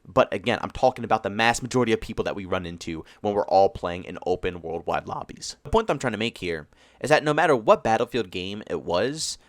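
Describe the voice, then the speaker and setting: Congested man in a fast, lively rant. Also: lowish voice, dry recording, studio quality. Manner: emphatic, ranting, builds to excited peaks. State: congested, stuffy nose. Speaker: gaming YouTuber. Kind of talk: gaming commentary monologue.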